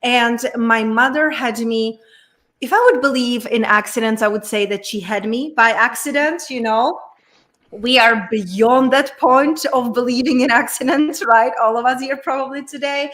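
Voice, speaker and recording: A woman with a Czech accent, speaking in a slightly raised voice. She tells her life story as a guest on a talk show, earnest and reflective, sometimes drawing her words out slowly. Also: on the high side, fairly bright tone. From Czech Republic. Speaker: guest coach.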